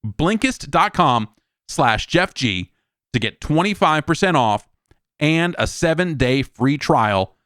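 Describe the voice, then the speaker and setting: A man talks casually as a solo podcast host. His voice is smooth and clean, recorded very dry. He rambles along in a wry, conversational manner. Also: fairly high pitch, expressive, slow, a bit bright, no room sound.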